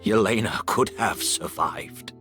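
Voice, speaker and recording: A man playing a courteous, remorseful gentleman who speaks in a measured, earnest way in a video game. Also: lowish voice, over music. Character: remorseful, courteous, ailing gentleman, calm and reflective.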